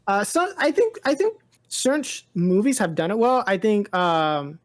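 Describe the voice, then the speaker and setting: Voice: medium pitch, very animated, normal speed. Podcast cohost just chatting, kinda rambly, keeps repeating phrases. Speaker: podcast co-host. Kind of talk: casual pop culture chat.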